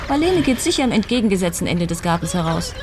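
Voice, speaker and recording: An actress playing a shrewd, self-doubting widow in a radio crime drama, with a dry, self-deprecating manner. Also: female voice, lowish voice, big pitch swings, fast, background noise. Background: clear music.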